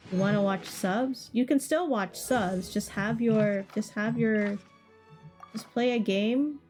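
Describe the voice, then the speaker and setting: A woman's voice: mid-range voice, mellow. A woman chatting casually and ramblingly to viewers while livestreaming a game. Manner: rambling, conversational. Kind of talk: casual gameplay chat with stream viewers. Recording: game music playing behind voice. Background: video game music.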